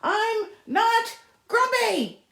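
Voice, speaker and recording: A woman's voice: extremely high pitch, big pitch swings, drawn-out words, creaky, fairly bright tone, pitched above the performer's own voice, heavily acted. A woman voicing a grumpy male monkey in a storybook, defensive and emphatic about being just fine. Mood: shouting, exasperated. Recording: echoey room.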